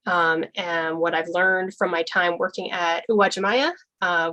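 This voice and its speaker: A woman's voice: medium pitch, fairly bright tone, rough recording. A young woman giving an informal, earnest presentation at a church forum, with frequent ums and restarts.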